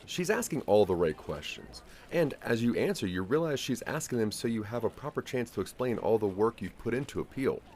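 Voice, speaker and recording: A man narrating a scripted audio drama in the second person, with a measured storytelling delivery. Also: on the low side, very animated, darkish tone.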